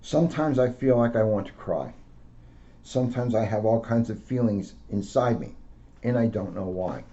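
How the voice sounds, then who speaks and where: A man, a police officer, reading aloud to children in simple, deliberate phrases. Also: lowish voice, warm tone, slight background noise. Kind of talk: read-aloud storytelling with casual asides. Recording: dull lo-fi sound.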